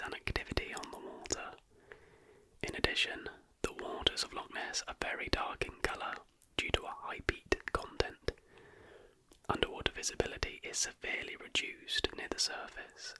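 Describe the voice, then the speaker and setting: A host whispering a scripted ASMR narration softly from start to finish. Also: low-quality recording. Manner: soft whispering throughout.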